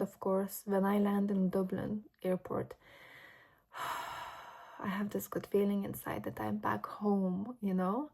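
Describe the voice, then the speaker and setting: Lithuanian-born woman vlogger, late twenties, flat even delivery, mellow tone, candid and earnest. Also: medium pitch. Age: late 20s. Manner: earnest, personal, unhurried with fillers. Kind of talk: reflective vlog monologue. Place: grandmother's home indoors.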